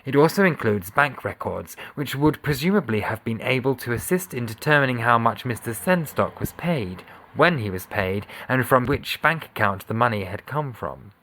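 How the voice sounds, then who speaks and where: A man giving a sober, measured, formal reading of a scripted legal document. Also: mid-range voice, expressive, fairly bright tone, low-quality recording. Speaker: voice reader of a court judgment.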